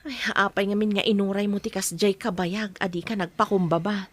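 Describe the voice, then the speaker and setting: Mid-pitched actress as concerned, frank aunt. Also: female voice, fairly even intonation, brisk, natural voice. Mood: advising. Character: concerned aunt giving frank, gentle counsel. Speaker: actor in a drama. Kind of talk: Ilocano audio drama acting.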